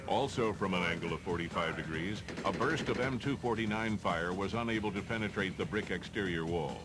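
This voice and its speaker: A man's voice: sits low, brisk, over music. A man with an American accent reads formal, matter-of-fact instructional narration for a training film.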